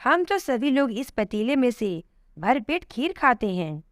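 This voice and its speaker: Woman as contented heavenly soul, cheerful, upbeat, explanatory, storybook, animated pitch. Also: unhurried, no echo, clean studio sound.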